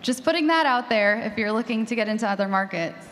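A woman's voice: a little high, expressive, fast, a bit bright. A woman chatting casually as a panelist at a live podcasting discussion. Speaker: panelist, horror fiction podcaster. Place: large conference room with audience. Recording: echoey hall.

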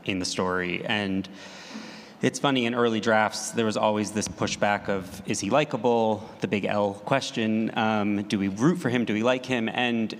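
Deepish-voiced man answering in a big hall. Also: steady tone, normal speed. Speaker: panelist, writer. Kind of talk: thoughtful panel answer. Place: large reverberant hall at a live panel. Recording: echoey hall reverb.

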